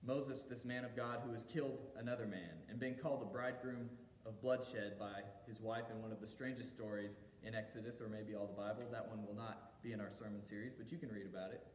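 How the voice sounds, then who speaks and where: A man's voice: steady tone, brisk, few pauses. Man preaching in an echoey hall. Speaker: pastor. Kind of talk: sermon. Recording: echoey hall reverb, faint low rumble.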